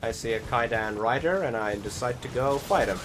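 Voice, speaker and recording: A man's voice: mid-range voice, fairly quick, heavy background noise. A man giving casual, rambling gameplay commentary in an informal, sweary way. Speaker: gaming video creator.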